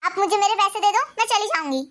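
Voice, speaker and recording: A woman's voice: very high-pitched, fast talker, heavy background noise. A woman acting an anxious young wife who frets and pleads in a family drama. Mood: upset. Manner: fretful and pleading.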